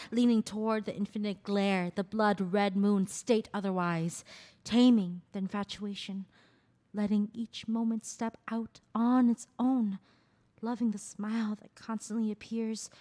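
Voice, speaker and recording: A woman reading the part of a graceful, poetic Khmer court dancer in a stage play, with a lyrical, measured delivery. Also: mid-range voice, natural voice. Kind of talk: stage play table read.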